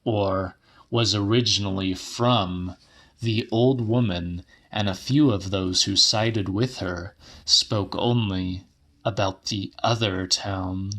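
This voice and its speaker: A man narrating literary horror as a dramatic audiobook, measured, deliberate and grave. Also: fairly low pitch, steady tone, fairly bright tone.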